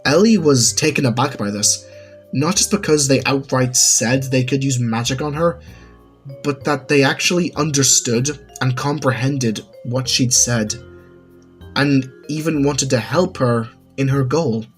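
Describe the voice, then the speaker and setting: A man's voice: medium pitch, a little slow. A man reading a scripted story as its narrator. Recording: music under the voice. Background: background music.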